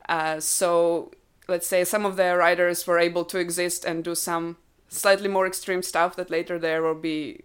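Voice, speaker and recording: Smooth-voiced woman guest, thoughtful. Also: lowish voice, flat delivery, normal speed. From Ukraine. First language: Russian. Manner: thoughtful, explaining with many fillers. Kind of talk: casual chat.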